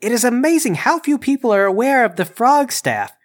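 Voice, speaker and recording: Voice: medium pitch, very animated, a little fast, natural voice. A player speaking in character in a tabletop game, earnest and skeptical.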